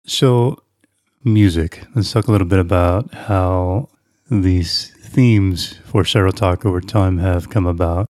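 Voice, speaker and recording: A man's voice: low-pitched, slow pace, a little mellow, no room sound. A man hosting a casual discussion, posing questions to a panel in a conversational way. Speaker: podcast host.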